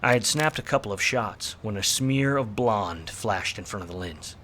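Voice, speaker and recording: An actor playing a hard-drinking, wisecracking, jaded 1940s private detective in a film noir radio play, with sardonic, slangy delivery. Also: male voice, on the low side, expressive, normal speed, a bit bright, a little hiss or hum. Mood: wry, brooding narration.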